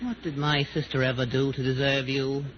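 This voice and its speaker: A man's voice: medium pitch, flat delivery, moderate pace, muffled recording, a crowd in the background, lightly acted. A man playing a prim, fussy, easily affronted brother-in-law in a 1940s radio comedy, prissy and disdainful. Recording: hissy, band-limited 1940s radio recording.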